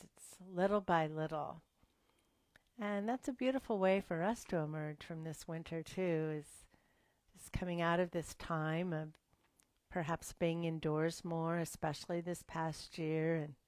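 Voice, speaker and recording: A woman leading a gentle yoga and breathing class, speaking softly and sometimes dropping to a whisper. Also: lowish voice, clear, resonant tone, dry recording. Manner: slow, soft-spoken and gentle, drifting into whispers. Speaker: yoga instructor.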